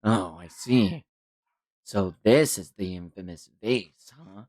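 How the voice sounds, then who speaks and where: Man acting weary, low skeptical older ninja. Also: takes it slowly, darkish tone, no echo, clean studio sound. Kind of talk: manga read-aloud.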